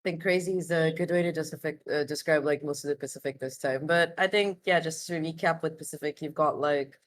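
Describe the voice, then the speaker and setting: A woman's voice: low voice, fairly even intonation, fairly quick. A woman co-hosting an esports podcast, casually discussing competitive gaming news.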